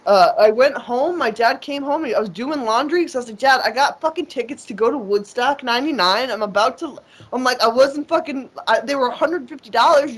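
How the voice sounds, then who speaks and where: A woman's voice: high voice, breathy edge. A woman guest in a casual interview, talking in a rambling, profane way with lots of fillers and restarts. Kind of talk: casual conversation.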